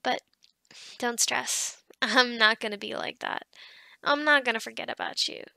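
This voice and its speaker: A woman acting a kind, encouraging office boss in an ASMR roleplay, speaking softly and conversationally with hesitant pauses and sighs. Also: a little high, steady tone, gravelly, no echo, exaggerated voice. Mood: reassuring, gentle.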